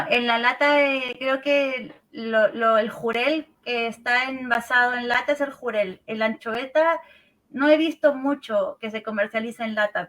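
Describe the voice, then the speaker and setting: A woman in her thirties with a Peruvian accent, a marine researcher calmly explaining in an interview from home over a remote call, often ending phrases with a questioning tag. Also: on the high side, low-quality recording. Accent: Peruvian Spanish. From Peru. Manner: calm, explanatory, many "eh" and "¿no?" tags.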